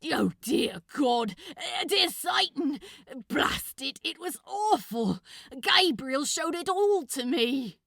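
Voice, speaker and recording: A mischievous male demon in a storybook romance, acted out by a woman, who pours out feeling in an emotional, dramatic rush. The voice sits fairly high and swings widely in pitch. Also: lively intonation, slow, creaky, fairly bright tone, no room sound, clean studio sound, heavily acted. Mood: frantic, remorseful. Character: frantic, remorseful male demon in love, mischievous.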